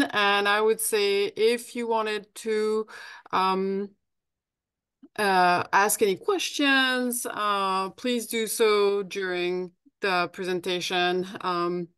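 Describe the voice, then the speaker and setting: French-accented woman presenting, smooth and dry. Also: medium pitch, a bit bright, no echo. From France. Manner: friendly, practical, with frequent fillers and restarts. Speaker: guest presenter. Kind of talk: informal lecture over a video call.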